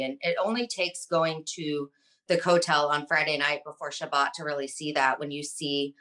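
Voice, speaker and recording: Woman, 30s, interview guest: low, bright voice, passionate, filler-heavy delivery. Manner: passionate, fluent, many ums. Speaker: guest, social media advocate. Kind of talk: earnest interview answers.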